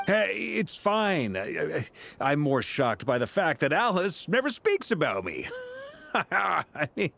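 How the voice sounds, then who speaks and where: Lively, breezy knight commander. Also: male voice, fairly high pitch, slow, gravelly, full character voice. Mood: mock hurt. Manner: breezy, teasing, hearty. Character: easygoing, jovial adult male knight commander. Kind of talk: English video game voice acting.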